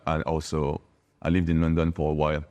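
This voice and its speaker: This is a man with an extremely low voice and a French accent, his English clearly a second language after his native French. He talks in a reflective, conversational way, leaning on phrases for emphasis, as a guest telling his own story. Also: monotone, quick pace, a little mellow, muffled recording. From France, a small village in Bordeaux. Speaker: podcast guest, wine bar owner.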